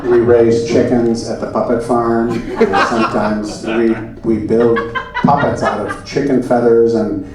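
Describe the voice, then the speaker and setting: A man's voice: medium pitch, steady tone, measured pace, hardly any pauses, very noisy, natural voice. Man playing an earnest spokesman in a very echoey room. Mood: earnest, explaining. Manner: hesitant, rambling, over-explaining. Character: earnest, rambling male puppet-troupe spokesman fielding questions. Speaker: moderator of a comic question session. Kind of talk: live comedy sketch, mock question-and-answer. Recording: echoey room, far mic, audience laughter.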